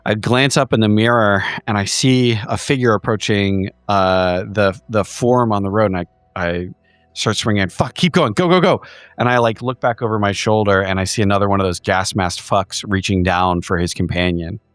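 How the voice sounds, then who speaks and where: A man's voice: lowish voice, fairly even intonation, moderate pace, fairly bright tone, clear, resonant tone, dry recording. A man playing a tabletop horror game, narrating his character's actions in a dramatic, descriptive way.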